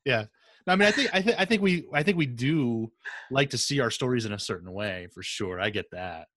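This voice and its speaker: A man's voice: mid-range voice, lively intonation, a little fast, a bit bright, no echo, studio quality. A man hosting a podcast interview in a casual, conversational style. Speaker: podcast host.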